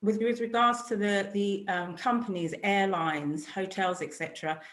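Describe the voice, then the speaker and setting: A woman's voice: medium pitch, steady tone, moderate pace, fairly bright tone. A woman, a corporate travel buyer, speaking on a webinar panel in a businesslike way, often listing points and restarting phrases. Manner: businesslike, piling up lists and restarts. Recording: echoey room.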